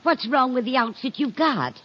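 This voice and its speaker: An actress playing a kindly, scatterbrained older landlady in a 1950s radio sitcom, with a husky, earnest, chatty voice. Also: high-pitched, expressive, normal speed. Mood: curious, homely. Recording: dull vintage 1950s radio recording.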